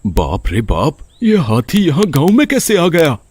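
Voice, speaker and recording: A man voicing blunt, expressive village men in an animated story. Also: a little high, a little slow, husky, no room sound, exaggerated voice. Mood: alarmed. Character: bargaining village men: elder, startled villager, greedy circus man.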